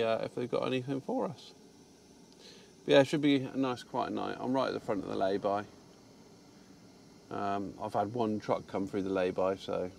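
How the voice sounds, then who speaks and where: A man's voice: lowish voice, creaky, a little mellow, slight background noise. A man chatting casually about his day while parked in his truck cab, rambling with fillers and restarts. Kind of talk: casual vlog chat.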